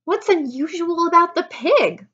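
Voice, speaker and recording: A woman's voice: fairly high pitch, a little slow, sharp tone, dull audio, pitched above the performer's own voice. A woman voicing a bewildered, skeptical farmer's wife from a storybook, questioning and down-to-earth.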